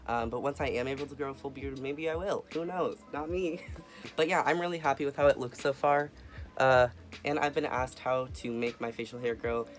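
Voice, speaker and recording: A young man talks softly and earnestly into his camera, filling gaps with ums and uhs. His voice sits at an ordinary middle pitch for a man. Light music plays faintly behind him. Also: fairly even intonation, moderate pace. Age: young adult. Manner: soft-spoken, earnest, with frequent fillers. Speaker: vlogger. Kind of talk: casual personal update.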